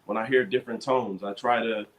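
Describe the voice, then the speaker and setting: A man's voice: fairly low pitch, steady tone, fairly quick, narrow, tinny audio. A man guesting on an interview, laid-back and slangy, rambling loosely as he talks. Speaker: guest singer. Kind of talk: casual interview chat.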